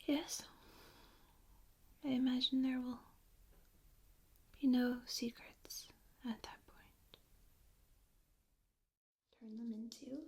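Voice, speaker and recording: Slow, whispering ASMR woman. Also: high voice, steady tone, slightly rough. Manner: hushed, gentle, slow step-by-step instruction. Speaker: ASMR host demonstrating plant care in a role play. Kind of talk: ASMR, soft speaking and whispering. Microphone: close binaural microphone. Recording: faint background noise, binaural close-miked.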